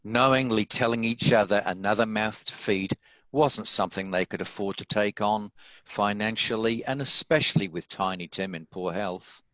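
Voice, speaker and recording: A man's voice: on the low side, moderate pace, a bit bright, no echo, low-quality recording. A man reading scripted story narration as the narrator of a dramatic table read.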